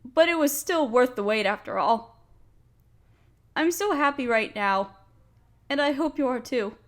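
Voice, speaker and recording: A woman's voice: high voice, expressive, unhurried. A woman playing a nervous, smitten, nerdy young woman in an intimate romantic audio roleplay, speaking hesitantly with stammers and sighs. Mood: happy, affectionate.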